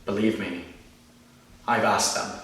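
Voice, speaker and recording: A man acting a bitter, wounded, self-righteous church leader in a volatile, confrontational dramatic monologue, repeating phrases between long pauses. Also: fairly low pitch, fairly even intonation, vocal fry, fairly bright tone, heavily acted. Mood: bitter, controlled. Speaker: amateur actor performing a monologue. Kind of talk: dramatic film monologue performance. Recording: echoey room, far from the mic.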